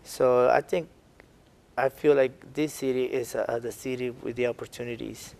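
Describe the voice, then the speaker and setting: Halting man with a Mexican accent. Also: medium pitch, steady tone, gravelly, darkish tone. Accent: Mexican Spanish. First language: Spanish. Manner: halting, with many fillers and restarts. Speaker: guest, artist and dance instructor. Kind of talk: interview answers.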